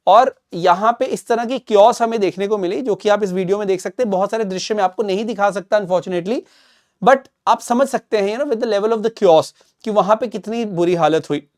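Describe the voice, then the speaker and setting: A man's voice: high-pitched, normal speed. An Indian man presenting current affairs like a teacher, emphatic and didactic, slipping between Hindi and English phrases. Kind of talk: explanatory news commentary for students.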